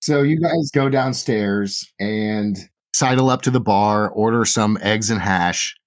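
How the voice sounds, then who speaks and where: A man narrating a tabletop game as game master, relaxed and full of fillers. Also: on the low side, unhurried.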